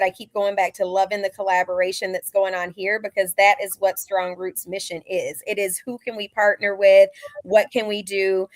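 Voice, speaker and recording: A woman's voice: mid-range voice, no echo. A young woman from Alabama, a community organizer, talking earnestly as a guest in an interview over a call, often saying "like" and "so". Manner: earnest, with frequent "like" and "so". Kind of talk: casual interview answers.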